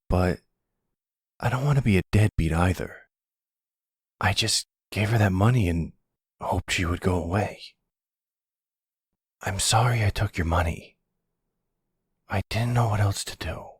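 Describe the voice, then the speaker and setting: A man's voice: lowish voice, unhurried, long pauses, breathy edge, no room sound, studio quality, voice pushed up. A man playing a sulky, defensive young crook, a grown son who protests and hesitates, in an ASMR roleplay drama. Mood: pleading, ashamed.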